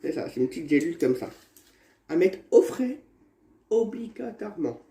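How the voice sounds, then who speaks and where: A man vlogging at home while he has a cold, showing his remedies in a halting, rambling way that repeats itself. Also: sits high, big pitch swings, gravelly, dark tone. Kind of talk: casual home how-to vlog. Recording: echoey room with faint background noise.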